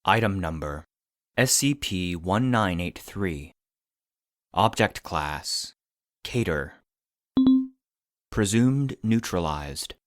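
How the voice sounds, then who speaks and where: A man playing an impassive narrator of classified files, reading in a formal, measured, detached way for an audio drama. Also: low voice, animated, no echo, clean studio sound. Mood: detached, official. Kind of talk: scripted document narration.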